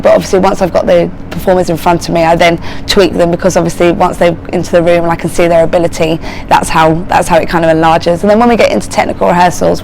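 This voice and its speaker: A woman's voice: on the low side, vocal fry, a little hiss or hum, low-quality recording. A woman working as a choreographer, answering interview questions in an upbeat, emphatic way. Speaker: choreographer and casting director.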